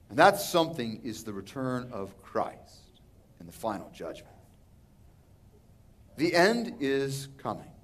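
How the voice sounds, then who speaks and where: A man in his thirties with a Texan accent, preaching a sermon earnestly from a church pulpit. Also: fairly high pitch. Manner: earnest preaching with rising and falling emphasis. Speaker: pastor. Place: large church sanctuary, indoors. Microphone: pulpit microphone.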